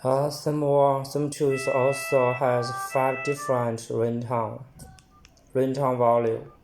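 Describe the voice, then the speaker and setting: A man giving a hands-on product demonstration in halting, short phrases as he reads items out. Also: medium pitch, level tone, over music, low-quality recording.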